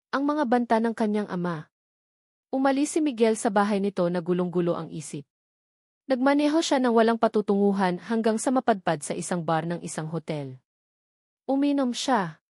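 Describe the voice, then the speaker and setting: Filipino woman reading a dramatic audiobook story, mid pitched voice, really dry sound. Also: darkish tone, dry recording. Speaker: audiobook narrator. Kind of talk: scripted dramatic story narration.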